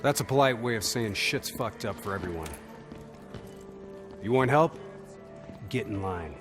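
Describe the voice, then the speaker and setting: An actor playing a cynical, intimidating soldier in a video game cutscene, blunt, profane and sardonic. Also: male voice, on the low side, lively intonation, a little slow, over music. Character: gruff, cynical, intimidating male soldier and interrogator.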